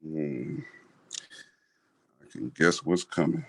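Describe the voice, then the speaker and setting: Male, actor as embattled mayor. Deep, unhurried, hesitant delivery, cautious and tired, close dry sound. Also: sing-song, fairly bright tone, no room sound, heavily acted. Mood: weary. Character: weary, cautious male city mayor under pressure. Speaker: actor in a staged reading. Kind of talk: staged play reading.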